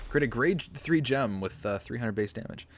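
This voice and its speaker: A man's voice: medium pitch, animated, moderate pace, slight background noise. A man streaming a strategy game, thinking aloud as he plays and answering chat with self-mocking asides. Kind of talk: casual gameplay livestream commentary.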